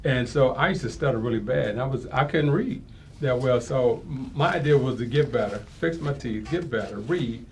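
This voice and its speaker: A man's voice: medium pitch, moderate pace, a little hiss or hum. A man appearing as a talk show guest, giving an earnest motivational personal testimony and often rephrasing himself.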